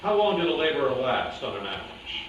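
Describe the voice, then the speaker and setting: A man's voice: medium pitch, sing-song, quick pace, muffled recording, natural voice. A man playing a persistent, skeptical interrogator in a staged reading, asking pointed questions. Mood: probing. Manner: probing, pointed. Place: indoor room at a live staged reading, distant mic. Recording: very echoey, noisy room, distant mic.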